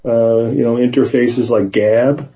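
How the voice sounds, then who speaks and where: A man in casual conversation, speaking in a hesitant, halting way, sometimes reading aloud. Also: medium pitch, fairly even intonation, mellow, dull audio. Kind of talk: casual conversation with some read-aloud passages.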